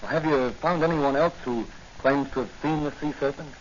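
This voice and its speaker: Actor as confident police hero, old noisy radio. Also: male voice, a little high, moderate pace, lightly acted. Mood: serious, investigative. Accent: American. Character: calm, confident young male police hero. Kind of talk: 1940s radio acting. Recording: noisy, dull 1940s radio recording.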